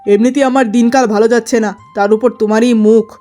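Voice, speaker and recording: A woman's voice: a little high, steady tone, quick pace, dark tone, faint music behind, natural voice. A woman voicing an irritable, scornful merchant husband in a cartoon story, scolding and dismissive. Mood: irritated, scornful.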